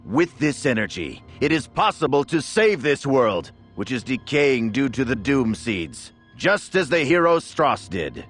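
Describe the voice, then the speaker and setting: A man playing a grandiose, scheming nobleman in a fantasy game, giving a measured, theatrical speech. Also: mid-range voice, very animated, a bit bright, soft background music. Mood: solemn, grandiose. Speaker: villain orator in a fantasy game.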